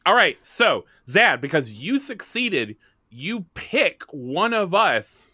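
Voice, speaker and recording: A man's voice: high voice, very animated, slow, pushed and bright, husky, no room sound. A man casually explaining rules and prompting players as he runs a tabletop game.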